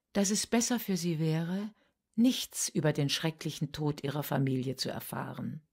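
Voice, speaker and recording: A slow-spoken, sorrowful village confectioner woman. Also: on the low side, a little mellow, heavily acted. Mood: remorseful, pleading. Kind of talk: dramatic monologue in a German radio play.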